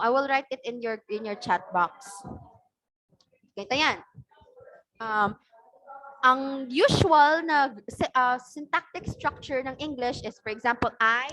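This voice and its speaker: Filipino teacher, woman, high voice, patient step-by-step lecturing on a video call, keeps asking "okay?". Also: a bit bright, low-quality recording. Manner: explains patiently, often checks with "okay?". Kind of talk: online class lecture.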